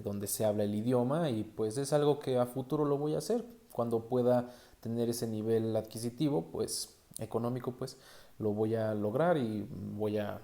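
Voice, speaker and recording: A man's voice: medium pitch, mellow. A man with a Mexican accent talking casually as a solo podcast host, conversational and often adding little tag questions.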